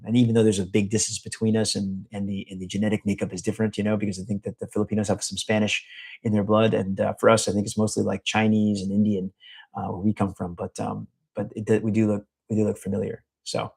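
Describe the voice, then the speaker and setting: Man, middle-aged, casual rambling chat, flat delivery, gravelly fry. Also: lowish voice, a little fast. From United States. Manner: rambling, with many fillers and restarts. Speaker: vlogger answering a live chat.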